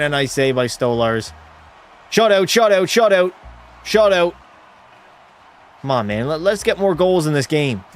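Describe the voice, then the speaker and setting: Male streamer calling hockey and chatting with viewers, a touch high-pitched, laid-back delivery. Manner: relaxed, drops into terse hockey call mode. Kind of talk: casual play-by-play commentary and chat reading.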